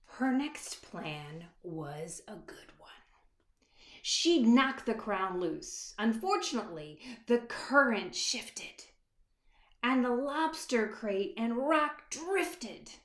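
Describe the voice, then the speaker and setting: In an echoey room, a woman reads a children's picture book at an unhurried pace. Her delivery is dramatic and expressive, stretching words and dropping to a hush, as if speaking straight to small children. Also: on the high side. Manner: pauses to address young listeners with questions. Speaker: author reading her own picture book. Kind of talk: expressive children's storybook read-aloud.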